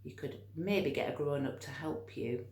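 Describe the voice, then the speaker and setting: A woman teacher reading and talking to young children in an expressive, encouraging way. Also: low-pitched, normal speed. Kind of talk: storytime read-aloud and teaching for young children. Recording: roomy, echoing home recording.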